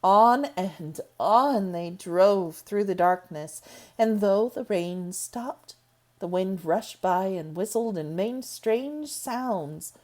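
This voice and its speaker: Slow, expressive woman reading a novel aloud. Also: medium pitch. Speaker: storybook reader.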